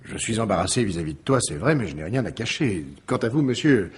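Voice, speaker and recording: An actor playing an embarrassed, indignant husband under police questioning in a French radio mystery drama, halting and defensive. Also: male voice, on the low side, very animated, breathy edge, full character voice.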